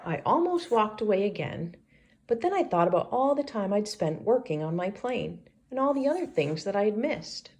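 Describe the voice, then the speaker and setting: A woman reading a picture book aloud to children in an expressive storybook style, like a teacher. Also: medium pitch. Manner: pauses to ask children questions.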